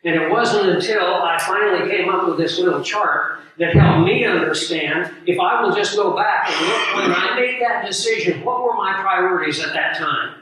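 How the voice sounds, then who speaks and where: Male preacher, hoarse and scratchy, high and bright, earnest and emphatic, heard from a distance. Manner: earnest, emphatic, unhurried teaching with pauses. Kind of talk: sermon teaching with live audience. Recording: very echoey room, voice far from mic.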